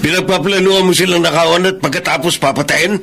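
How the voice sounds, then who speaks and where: A man playing a stern, accusing grandfather in a Filipino radio drama, questioning forcefully. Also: high-pitched, steady tone, quick pace, creaky, a little hiss or hum. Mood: angry, accusing.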